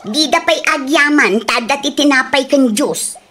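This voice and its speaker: A woman acting in a comedy radio drama as a brisk, tight-fisted, dismissive farm boss. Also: high-pitched, sharp tone, slight background noise. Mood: impatient.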